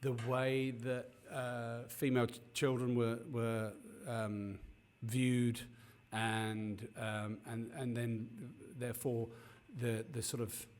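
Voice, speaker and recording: A man's voice: medium pitch, little pitch movement, slow pace, a little mellow. A man hosting an interview over a video call, chatting in a friendly, rambling way with many restarts. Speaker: podcast host. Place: Cape Town.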